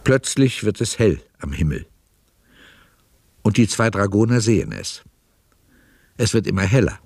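A man's voice: lowish voice, very animated, unhurried, creaky, a little mellow. A man reading scripted scene narration for a radio drama as its narrator.

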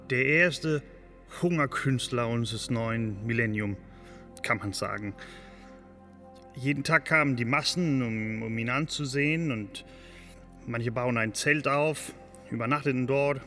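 A bright-voiced, hesitant storyteller in reverb. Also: male voice, mid-range voice. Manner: hesitant, searching for words. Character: earnest, fascinated man recounting a public spectacle. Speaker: storyteller in a radio play. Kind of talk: spontaneous-sounding documentary recollection. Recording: long reverberant hall sound. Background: music.

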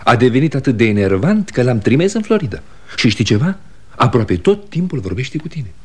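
An actor playing an eager, concerned younger brother in a radio drama, with a lively, questioning manner. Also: male voice, mid-range voice, slightly rough. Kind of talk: 1960 Romanian radio theatre acting.

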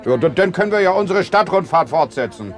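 High-pitched, fussy male tour guide. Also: sing-song, fairly quick, faint music behind. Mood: flustered, deferential. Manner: stammering, over-polite, declamatory. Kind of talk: satirical radio play acting.

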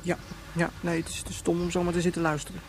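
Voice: mid-range voice, completely flat delivery, fast talker, noisy background, lightly acted. An actor in a radio play playing a petulant, chattering child who speaks emphatically and childishly. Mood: naive, curious. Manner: childish, emphatic, repeats pet intensifiers. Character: petulant, chattering child, hot-tempered and self-important.

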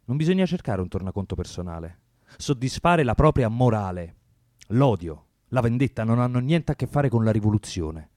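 Radio drama role: male actor as hard-line 1970s revolutionary man, mid-pitched, commanding, provocative, recorded dry. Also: big pitch swings, normal speed, a little mellow, no room sound, lightly acted. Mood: cold, solemn. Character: cold, doctrinaire 1970s Italian communist militant man.

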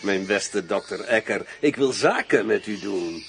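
Suave general, swooping pitch. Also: male voice, very animated, takes it slowly, fairly bright tone, full character voice. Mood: cunning, businesslike. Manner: suave, theatrical, insinuating. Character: wary, suave general dealing in arms. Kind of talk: Dutch radio drama acting. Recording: noisy old radio drama recording.

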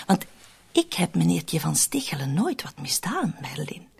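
Husky, creaky, scheming woman. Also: low-pitched, sing-song, a little slow, full character voice. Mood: insistent, defensive. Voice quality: husky, creaky, often breathy voice. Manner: insistent, persuasive, controlled. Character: cunning, cold, manipulative woman plotting to shift murder blame. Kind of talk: Dutch-language radio drama acting.

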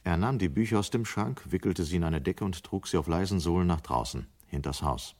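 A man narrating a radio play as a sober storyteller, measured and matter-of-fact. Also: low-pitched, a little mellow. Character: sober male storyteller of a dystopian tale.

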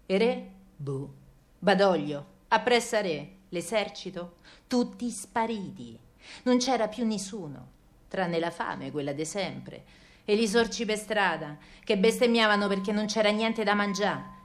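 An actress playing a stubborn young working-class wife with a Roman accent, telling wartime memories in a colloquial, earthy and wry theatrical monologue. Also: female voice, mid-range voice, expressive, unhurried, natural voice. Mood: wry, resigned.

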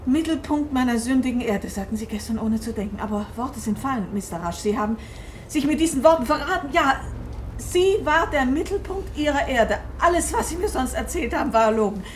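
High-voiced, accusing woman sleuth. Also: expressive, a little fast, slight background noise. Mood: accusing, bitter. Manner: urgent, emphatic, dramatic delivery. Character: crime novelist woman, shrewd, accusing, agitated amateur sleuth. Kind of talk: German radio drama acting.

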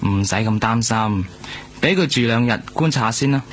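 A man acting a calm, reassuring hospital doctor in a radio drama, with a Hong Kong Cantonese accent. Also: medium pitch, normal speed, slight background noise, close to an everyday voice. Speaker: actor.

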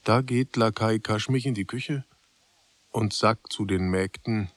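An actor as a sober chronicler, narrating a literary radio drama in measured, clipped phrases. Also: male voice, on the low side, dry recording. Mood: matter-of-fact. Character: sober, unhurried male chronicler of a literary tale.

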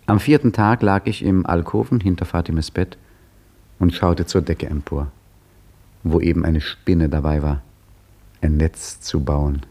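A wry, ironic storyteller in an old radio play, his voice somewhat low and slightly husky. He tells his own tale with dry theatrical relish. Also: steady tone, unhurried, dark tone. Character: Hakim, wry storyteller looking back on his youth, lively and ironic. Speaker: narrator and protagonist. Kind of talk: 1950s radio play acting.

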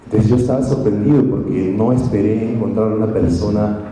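A man with a low, mellow voice gives a reflective conference talk, stopping often to check in with his listeners. He is recorded from a distance in a large, reverberant hall. A faint room noise sits underneath. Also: steady tone, brisk. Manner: reflective, storytelling, frequent tag questions. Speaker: journalist and speaker. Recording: echoey hall from far off.

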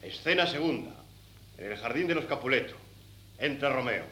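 Vain young man, Castilian Spanish, swooping pitch, theatrical radio drama, hissy old cassette. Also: moderate pace. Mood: excited, bossy. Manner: theatrical, excitable, stammers when flustered. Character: eager, bossy, vain young son in love. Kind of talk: 1970s Spanish radio play acting.

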